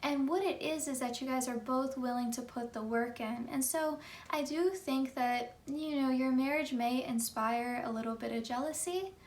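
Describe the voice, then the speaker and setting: A woman reads tarot cards for her viewers, talking freely and filling her sentences with little asides. Her voice sits fairly high and has a slight morning hoarseness. She sounds a bit set back from the microphone. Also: normal speed, far from the mic. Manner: chatty, many fillers like "you know". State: hoarse from just waking up. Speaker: tarot reader. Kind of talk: pick-a-card tarot reading.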